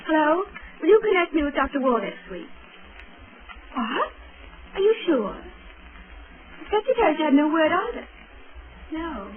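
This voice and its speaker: Pert no-nonsense girl friday, high voice, tinny 1930s radio mystery. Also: sing-song, a little slow, long pauses, a little hiss or hum. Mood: brisk, practical. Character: brisk, teasing, practical lady assistant to a sleuth. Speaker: lead radio actress. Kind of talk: 1930s radio drama acting.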